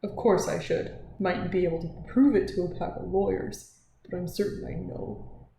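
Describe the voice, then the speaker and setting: A woman voicing a gruff, self-assured middle-aged countrywoman with a clipped, no-nonsense delivery. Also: on the low side, steady tone, normal speed, mellow. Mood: blunt, certain. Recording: roomy echo, faint background noise.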